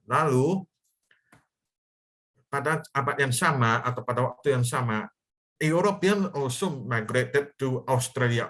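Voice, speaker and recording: A man's voice: medium pitch, fairly bright tone. A young man from Indonesia teaching an English lesson over a video call, explaining in simple steps and checking in with the students. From Medan, North Sumatra, Indonesia. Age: young adult. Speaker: online English tutor. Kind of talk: live virtual class teaching.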